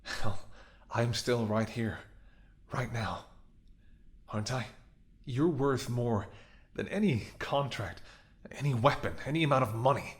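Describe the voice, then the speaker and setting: A man's voice: medium pitch, measured pace, long pauses, natural voice. A man acting in a romantic audio roleplay as a devoted, stubborn ex-bodyguard, speaking earnestly and intimately with emotional intensity. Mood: impassioned, pleading. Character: devoted gruff ex-bodyguard, fiercely loving, stubborn and protective.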